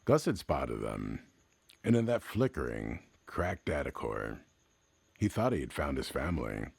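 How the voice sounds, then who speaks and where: A man narrating a scripted story in the manner of classic radio drama, somber and measured, with dramatic pauses between short phrases. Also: big pitch swings, dry recording. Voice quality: very deep, gravelly, husky.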